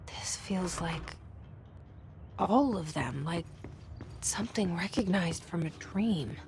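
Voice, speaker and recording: A woman acting a guarded, determined young heroine in a video game, in a low-key, searching manner. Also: lively intonation, slow, a little mellow. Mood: hushed, pensive.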